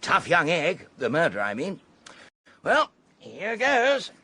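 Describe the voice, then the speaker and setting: A man's voice: on the high side, huge pitch swings, slow, pushed and bright, breathy edge, full character voice. An actor playing a breezy, slangy, upper-class young British man in a period radio drama. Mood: jaunty, game. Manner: jaunty, slangy.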